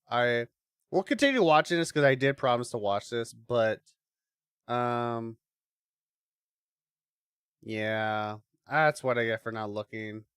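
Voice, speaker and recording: A man's voice: mid-range voice, fairly bright tone, clear, resonant tone, no echo, clean studio sound. A man hosting a live watch-along, giving casual reaction commentary with swearing and muttered asides.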